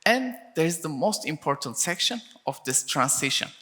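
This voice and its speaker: Bright-voiced man in a hall. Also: fairly high pitch, very animated, normal speed. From Turkey. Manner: frequent "and then" and restarts, non-native phrasing. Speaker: conference speaker. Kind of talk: technical conference talk. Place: conference hall. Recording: reverberant conference hall.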